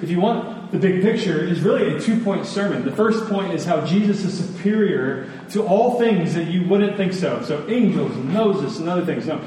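A man preaching a sermon in an earnest, emphatic way, repeating phrases deliberately for weight. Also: on the high side, mellow. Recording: echoey hall, distant, faint noise.

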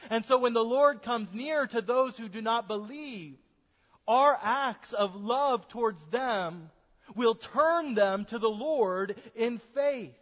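High-voiced pastor preaching in an echoing church. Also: male voice, a bit bright. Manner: earnest preaching, slows for emphasis. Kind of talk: sermon. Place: church sanctuary. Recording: dull sermon recording in a reverberant church.

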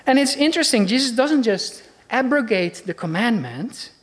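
A woman's voice: fairly high pitch, lively intonation, normal speed. A woman with a Dutch accent preaching a sermon in an earnest, conversational way, asking her listeners questions.

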